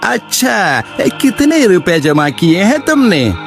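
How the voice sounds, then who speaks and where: A man's voice: high voice, very animated, slow pace, faint music behind, heavily acted. A man playing an assured, reassuring village landlord in a cartoon moral story. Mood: assured, interested.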